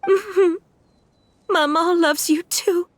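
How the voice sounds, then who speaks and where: Grieving mother, high-pitched, gentle, unhurried, tender, wistful, game drama, background music. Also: steady tone, dry recording. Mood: tender, sorrowful. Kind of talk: video game voice acting.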